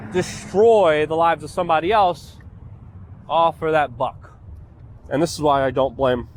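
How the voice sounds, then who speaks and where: A man who grew up in Poland speaks in a slightly high voice, railing against the state of things with biting sarcasm. His delivery is indignant and scolding, a ranting monologue rather than a conversation. A faint rumble of passing vehicles sits in the background. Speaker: political commentator.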